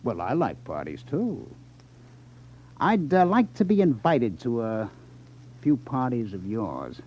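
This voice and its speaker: An actor playing a tough, sly gambling club boss in a 1950s radio crime drama, with a taunting, menacing manner. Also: male voice, mid-range voice, huge pitch swings, slow pace, warm tone, full character voice. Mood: sly, insinuating. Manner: sneering, taunting, hesitates slyly for effect. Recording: dull lo-fi 1950s radio recording.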